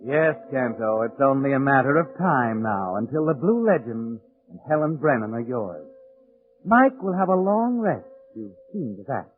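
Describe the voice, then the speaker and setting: Tinny old radio w music, ominous 1940s mystery narrator guy, insinuating, very dramatic pitch swings. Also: very animated, moderate pace. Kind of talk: 1940s radio suspense narration, second person. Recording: old 1940s radio, narrow muffled band.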